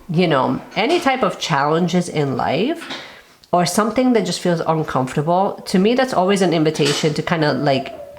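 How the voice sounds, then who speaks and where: A young woman hosting her planner channel, chatting casually to camera, her voice raspy from a cold and full of little fillers. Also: on the low side. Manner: chatty, lots of fillers like kind of and like.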